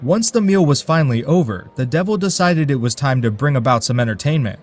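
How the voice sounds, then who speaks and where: A man's voice: mid-range voice, expressive, fairly quick. A man narrating a folk tale in a dry, wry way, with asides to his listeners. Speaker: storyteller.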